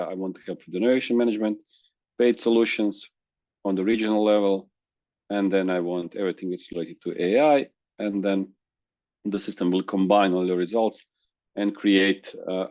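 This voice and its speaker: A man whose first language is Croatian, presenting a software demo in a webinar, halting with restarts and fillers over a video call. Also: sits low, no room sound, highs cut off. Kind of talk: screen-share software demo.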